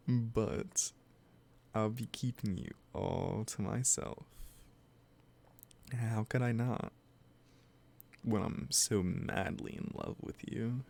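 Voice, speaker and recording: Casting: male actor as lovesick, possessive kidnapper for roleplay audio. Gravelly low voice, gentle and coaxing. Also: full character voice. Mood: possessive, smitten. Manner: slow, hesitant, gently coaxing. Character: obsessive lovesick kidnapper, soft-spoken and possessively sweet. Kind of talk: roleplay audio drama, addressing the listener directly.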